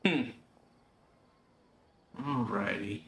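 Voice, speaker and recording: A man's voice: on the low side, drawn-out words, noisy background. A man giving casual let's play commentary in a laid-back way, with short muttered reactions. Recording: game music behind the voice.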